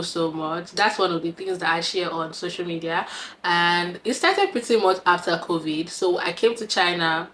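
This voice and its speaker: A woman's voice: fairly low pitch, fairly bright tone, slight background noise. A Nigerian woman in her twenties, chatting to camera as a vlogger in a giggly, talkative way. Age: 20s. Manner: chatty and giggly, with frequent laughs. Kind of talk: casual talk to camera.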